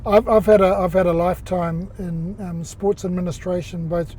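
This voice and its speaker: A man with a New Zealand accent, an older sports executive chatting as an interview guest, with lots of "um"s, pauses and restarts. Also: high-pitched, fairly even intonation, darkish tone. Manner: halting, many fillers and restarts. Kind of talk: casual interview chat.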